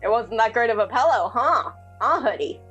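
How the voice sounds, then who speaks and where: A woman's voice: on the high side, lively intonation, moderate pace, fairly bright tone, lo-fi sound. A woman playing in a tabletop roleplaying game, bantering casually in character with a giggly, teasing manner. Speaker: player in a tabletop roleplaying podcast. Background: music.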